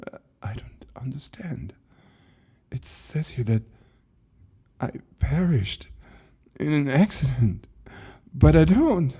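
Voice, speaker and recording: A man voicing a bewildered, frightened man in a story reading, halting and strained with emotion. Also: a little high, sing-song, mellow, slightly rough, pitched above the performer's own voice, heavily acted. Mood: distressed disbelief. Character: bewildered, frightened man trapped in a mysterious library. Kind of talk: dramatized short story reading.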